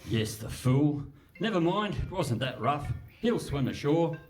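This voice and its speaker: An actor playing a cocky, scatterbrained self-styled criminal mastermind, breezy and dismissive, in a comic radio play. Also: male voice, on the high side, big pitch swings, a little slow, a little mellow, distant mic, soft background music. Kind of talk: comic live radio theatre. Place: hall stage before live audience.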